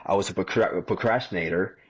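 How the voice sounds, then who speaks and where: Low raspy guy in his 30s chatting on a podcast, kinda dull muffled audio. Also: fairly quick. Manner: repeats words often, tells stories plainly. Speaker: podcast guest. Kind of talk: casual interview chat.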